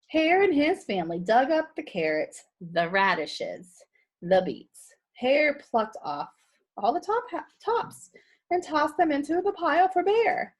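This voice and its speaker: Animated woman reading a picture book, unhurried. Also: a little high, slightly rough. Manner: animated, teacherly. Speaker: read-aloud storyteller for children. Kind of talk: expressive picture-book reading with questions to children.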